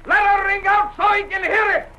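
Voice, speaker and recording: An actor playing a hearty ship's hand in a 1940s radio adventure. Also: male voice, very high-pitched, fairly even intonation, brisk, bright, forceful tone, full character voice. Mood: rousing. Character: gruff, hearty seaman, crew hand to the captain. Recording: old lo-fi radio drama recording.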